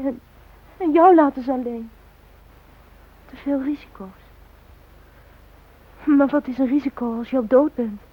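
An actress in a radio drama playing a grieving young woman who speaks haltingly and in anguish to her dead fiancé. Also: high voice, close to an everyday voice. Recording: dull, lo-fi old radio recording.

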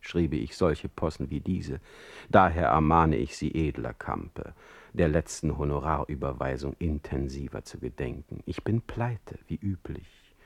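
An actor playing a witty, sardonic, ailing middle-aged poet in a radio play, speaking with eloquent, mocking irony. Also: male voice, extremely low pitch, animated, measured pace, vocal fry, exaggerated voice. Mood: wry, dictating. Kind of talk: radio play acting with letter narration.

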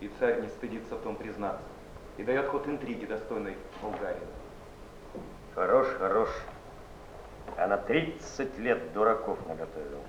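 A man's voice: on the low side, background noise, natural voice. Sardonic, solemn poet played by a man, mid-range theatrical verse delivery, dull echoing 1970s recording. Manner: declamatory, drawn-out. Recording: echoey, muffled 1970s stage recording with music.

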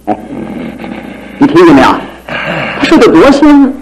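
An actor playing a boisterous, carefree young bachelor with a teasing, chuckling, theatrical manner in a radio drama. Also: male voice, high voice, big pitch swings, normal speed. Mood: jovial, carefree. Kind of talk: Mandarin radio drama acting. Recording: faintly noisy old radio broadcast.

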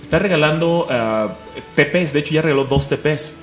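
A man's voice: a little high, roomy sound, faint music behind. A man with a Mexican accent hosting a comic radio show, joking and enthusiastic. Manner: fast, joking, enthusiastic, laughs often. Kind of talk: casual radio chat.

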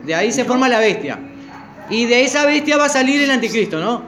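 A man's voice: very high voice, animated, brisk, fairly bright tone, faint music behind. An older man with a Rioplatense Spanish accent, leading a Bible study group and its spoken prayer in an earnest, questioning way.

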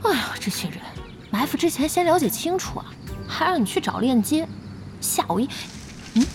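A spirited young female gamer speaks in a high, animated voice. She is hot-tempered and blunt in this audio drama, with faint music underneath. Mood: curious, scolding. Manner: outspoken, quick to exclaim.

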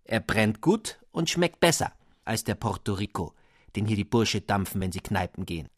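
A man's voice: very animated, moderate pace, no room sound, studio quality. A man voicing a lovesick young student who writes gushing, flowery love letters. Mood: swooning. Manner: florid, swooning, high-flown.

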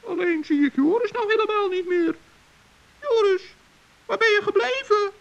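A performer playing a small, good-hearted old male gnome in a children's radio play, earnest and fretful, with a squeaky, plaintive voice on a muffled old recording. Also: moderate pace, gravelly. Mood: worried, plaintive.

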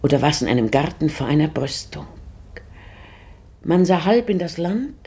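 A dreamy, melancholy lady in a poetic radio play. Her voice is low, husky and full of creak, and she speaks slowly. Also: lively intonation, darkish tone, exaggerated voice. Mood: dreamy, wistful. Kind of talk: poetic, slow radio drama acting.

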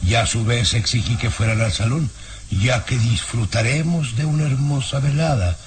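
A man's voice: mid-range voice, normal speed, background noise, lightly acted. A man acting a haughty middle-aged baron in an old Mexican radio serial, with a pompous, insistent, formal manner. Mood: evasive, smug.

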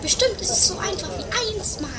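A woman's voice: very high-pitched, expressive, fairly quick, a loud crowd. A woman playing a cheery, smug know-all adventurer in a comedy radio play, with a theatrical sing-song delivery.

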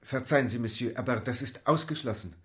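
An actor playing an eager, deferential French manservant in a radio drama, speaking politely and earnestly with a French accent. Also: male voice, medium pitch, brisk, lightly acted.

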